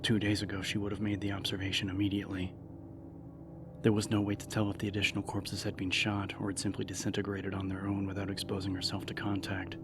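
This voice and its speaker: A man reading a novel as an audiobook narrator, in a measured, serious, dramatic style. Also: deep voice, completely flat delivery. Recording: narration over background music.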